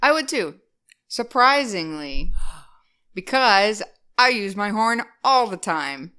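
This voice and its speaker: A woman co-hosting a casual podcast, chatting animatedly with lots of "like" fillers and sudden loud bursts. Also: medium pitch, very animated, slow pace, clear, resonant tone. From Rhode Island. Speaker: podcast co-host. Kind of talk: casual chat.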